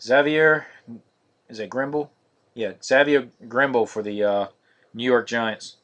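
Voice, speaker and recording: A man hosting a live-streamed card break, naming each card in a steady, monotonous voice. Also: mid-range voice, unhurried. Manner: monotonous, steady listing of cards.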